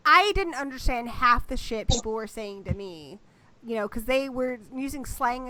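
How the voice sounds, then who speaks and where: A woman's voice: fairly high pitch, bright, forceful tone, poor audio. A woman from England, talking frankly and casually as a guest on a livestream chat, swearing now and then. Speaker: guest horror host.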